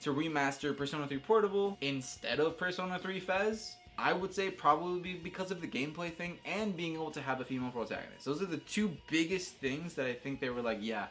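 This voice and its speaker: Casting: male gaming video host, slightly high voice, animated and informal, conversational rambling delivery, faint music underneath. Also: a little fast, hardly any pauses. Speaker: video game reviewer and host. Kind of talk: casual video essay commentary on a game.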